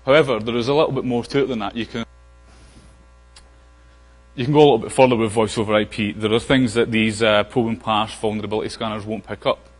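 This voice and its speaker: A man's voice: fairly low pitch, fairly even intonation, fast, faint music behind. Scottish man lecturing in a hall. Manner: casual, frequent fillers and restarts. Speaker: conference speaker. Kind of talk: technical conference talk. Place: large conference hall. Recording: long hall reverb.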